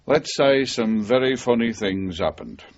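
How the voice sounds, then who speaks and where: A man's voice: medium pitch, lively intonation, unhurried. An actor playing a wary, cynical male former policeman, guarded and wry, in a 1980s radio drama. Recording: dull, muffled radio broadcast recording.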